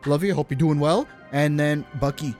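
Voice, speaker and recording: A man's voice: a little high, moderate pace. A man streaming a game live, chatting casually and enthusiastically, rambling and repeating his phrases. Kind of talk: casual livestream chat and reaction. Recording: stream with game music behind. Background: game music.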